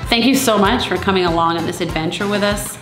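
A woman's voice: medium pitch, fast talker. Candid woman vlogger over music. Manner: candid, self-deprecating. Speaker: travel vlogger, mother. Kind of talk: casual family travel vlog.

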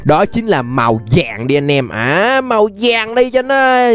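A young man with a high voice and a southern Vietnamese accent commentates on a video game. He is animated and exclamatory, often doubling up his phrases. He speaks close to the mic, with music playing behind him. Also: unhurried. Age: young adult. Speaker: gaming YouTuber, host. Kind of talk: excited gameplay commentary. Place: indoors at a gaming setup. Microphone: desk microphone close to the face.

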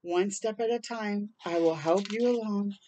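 An older woman hosting a children's storytime, reading a rhyming picture book aloud in a dramatic, deliberate way with brief asides. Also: medium pitch, mellow, slight background noise. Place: outdoors on a porch.

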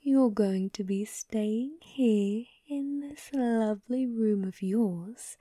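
A woman voicing a bratty, sadistic yandere young woman in an ASMR roleplay, taunting and breathy, often slipping into whispers. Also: mid-range voice, dark tone, no room sound, studio quality.

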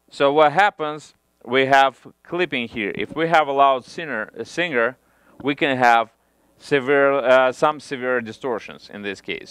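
A man in his thirties explains a repair step by step in a small home studio, his phrasing halting and full of restarts. His voice is smooth and clear at an ordinary pitch. The headset mic gives a close, dry sound. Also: fairly bright tone, no echo. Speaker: audio equipment reviewer. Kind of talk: hands-on equipment demonstration.

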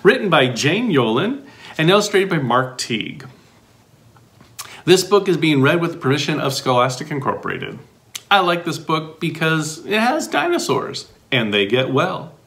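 Middle-aged male storybook reader, expressive and playful, swooping intonation with noticeable vocal fry. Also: fairly high pitch, normal speed. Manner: expressive, sing-song questions with playful commentary. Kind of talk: animated children's picture book read-aloud with asides.

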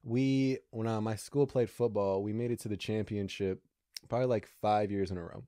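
Young guy from alaska on a podcast, lowish voice, dry close mic, kinda rambly and halting. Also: fairly even intonation, mellow, no echo. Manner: rambling, halting, laughs often. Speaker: guest comedian. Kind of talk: casual podcast chat. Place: small studio with brick backdrop. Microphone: desk mic on boom arm.

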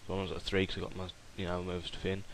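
A young man narrates a screen-recorded computer tutorial in a low, fairly flat voice. He talks loosely, with plenty of ums and restarts. A faint hiss and clicking sit under the recording. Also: fast. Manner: rambling, informal, with many fillers and restarts. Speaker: software tutorial host.

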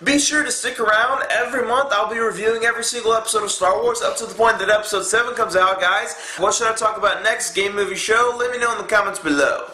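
Young dude in his 20s trashing a movie, bright sharp voice, lots of cussing, roomy echo. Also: sits high, fast talker, few pauses. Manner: blunt, profane, exasperated ranting. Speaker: movie reviewer. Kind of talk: casual vlog review. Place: indoor room in front of a dark backdrop.

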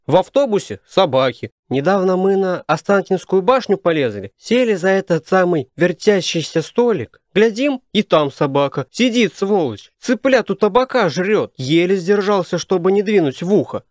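A cheeky high-voiced teenage son. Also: male voice, animated, normal speed, hardly any pauses, darkish tone, no room sound, clean studio sound. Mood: indignant grumbling. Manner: insolent, sing-song at times. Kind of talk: audio play acting of family satire.

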